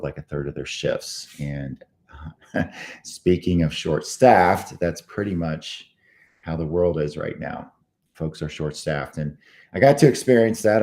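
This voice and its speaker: Hoarse, very deep-voiced man. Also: mellow. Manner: frequent throat clearing, halting with many fillers. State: tired. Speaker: host of a short morning show. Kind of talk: casual morning monologue.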